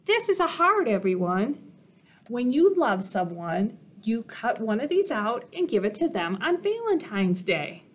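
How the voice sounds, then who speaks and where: A woman's voice: fairly high pitch, big pitch swings, measured pace, a little hiss or hum. A woman voicing a know-it-all picture-book bunny, explaining things emphatically.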